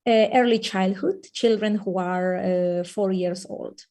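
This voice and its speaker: A woman from Peru speaks over a video call, her voice mellow and relaxed. She talks in a halting, thoughtful way, often filling gaps with "uh" and "um" as she explains things. She sounds like a preschool teacher sharing her experience in a webinar. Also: medium pitch. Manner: hesitant, many fillers. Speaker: early childhood teacher. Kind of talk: webinar conversation. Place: lives in the Netherlands.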